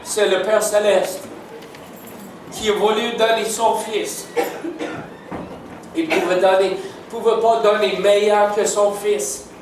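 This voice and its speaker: A man's voice: high-pitched, steady tone, takes it slowly, long pauses, a little hiss or hum. An older man with an English Canadian accent, a native English speaker preaching a sermon in French, emphatic and often checking in with his listeners. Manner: warm, emphatic, keeps asking "n'est-ce pas?". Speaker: guest preacher. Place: church sanctuary. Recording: echoey church hall from far off.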